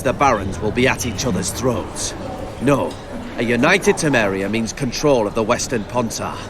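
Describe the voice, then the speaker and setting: A man playing an imperious, calculating king in a fantasy game, speaking in a regal, commanding, deliberate way. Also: medium pitch, noisy background, natural voice. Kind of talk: dramatic game acting.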